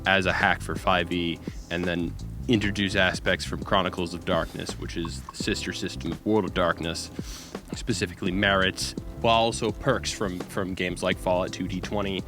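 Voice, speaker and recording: A man with an American accent and a fairly low voice chats as a guest game designer. He speaks casually and haltingly, feeling for his words, while music plays clearly behind him. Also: steady tone. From California, United States. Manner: halting, self-deprecating, searching for words. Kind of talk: casual, unscripted interview.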